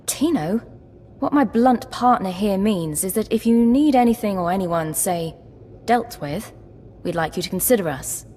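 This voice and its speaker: Smooth-talking scheming Sith acolyte, voiced by a woman. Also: medium pitch, expressive, warm tone, slight background noise, close to an everyday voice. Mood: smooth, ingratiating. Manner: polite, measured, wryly persuasive. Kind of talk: video game voice acting.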